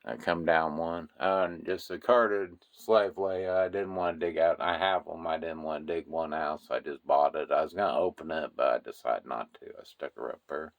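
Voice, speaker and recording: A man giving a casual, rambling tour of his toy collection room, filling pauses with lots of uh and um while filming on a handheld phone. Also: very deep voice, steady tone, narrow, tinny audio. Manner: unhurried, rambling, many fillers. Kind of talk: casual walkthrough narration.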